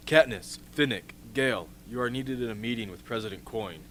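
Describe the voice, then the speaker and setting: A man playing a steady, matter-of-fact military officer in an amateur radio drama, blunt and businesslike. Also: mid-range voice, fairly quick, lightly acted. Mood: urgent. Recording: clearly noisy outdoor-like ambience.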